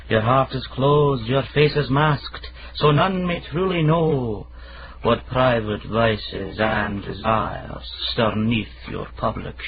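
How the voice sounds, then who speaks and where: An actor playing a theatrical poet-narrator in a radio drama, declaiming verse in a measured, dramatic manner. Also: male voice, a little high, background noise, exaggerated voice. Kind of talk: poetic radio drama monologue. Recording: muffled radio drama recording with music.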